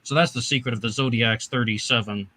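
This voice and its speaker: Fast-talking man, bright voice. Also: mid-range voice. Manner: quick, lively, rambling asides. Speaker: host. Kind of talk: casual chat. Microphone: video call.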